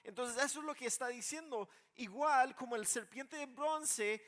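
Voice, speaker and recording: A male preacher with a notably high voice explains scripture in everyday words. He swings from quiet reflection to insistent emphasis, often repeating a word as he restarts a phrase. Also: moderate pace. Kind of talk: expository sermon, explaining scripture in plain conversational words.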